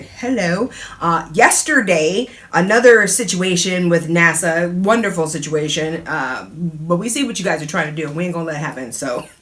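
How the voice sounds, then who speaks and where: A middle-aged woman hosting her own talk show in a chatty, casual way, laughing often and breaking into animated asides. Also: sits low. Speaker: radio host and DJ.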